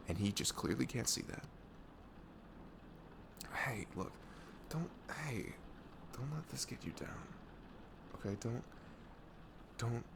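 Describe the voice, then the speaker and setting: A young man acting out a protective, tender best friend in an ASMR roleplay, with a low voice and some fry at the edges. He speaks haltingly and earnestly, close and soothing, with pitch that moves around a lot. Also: background noise, heavily acted. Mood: emphatic, reassuring. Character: caring, protective young male best friend comforting someone. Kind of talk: ASMR audio roleplay, intimate casual chat.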